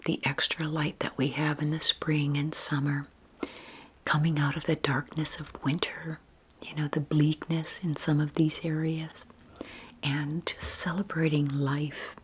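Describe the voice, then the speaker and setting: An older woman speaks in a very breathy, soft whisper with a low pitch. She rambles informally in a personal, ASMR style meant for relaxing, taking her time and gently putting herself down. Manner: unhurried, informal, self-deprecating. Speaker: YouTube video creator.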